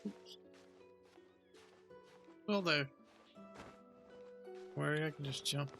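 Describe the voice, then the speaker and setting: A man's voice: a little high, drawn-out words, vocal fry, music in the background. A man giving casual playthrough commentary, muttering to himself as he plays and reads. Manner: muttering to himself, reading on-screen text aloud. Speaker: video game player.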